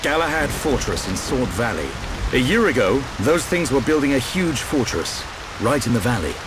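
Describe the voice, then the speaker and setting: An actor as composed seasoned warrior, medium pitch. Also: male voice, big pitch swings, normal speed, noisy background, lightly acted. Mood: calm, considered. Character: calm, measured, seasoned male warrior leader. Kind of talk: video game cutscene voice acting.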